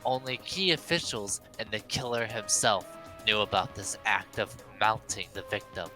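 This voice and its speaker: Man putting on a pompous, forceful young heir. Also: lowish voice, normal speed, faint music behind. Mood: lecturing. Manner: pompous, declaiming. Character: haughty, pompous young heir relishing a murder mystery.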